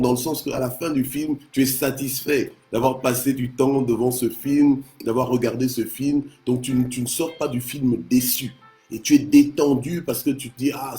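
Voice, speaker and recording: Mellow-voiced male preacher from Congo. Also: mid-range voice. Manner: punctuates speech with short exclamations of praise. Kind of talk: devotional sermon on scripture.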